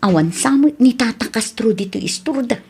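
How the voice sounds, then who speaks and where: A woman's voice: on the high side, lively intonation, normal speed, loud music behind the voice. A woman with an Ilocano Filipino accent playing a chatty, teasing neighbour and shop customer in a radio comedy drama. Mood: coaxing.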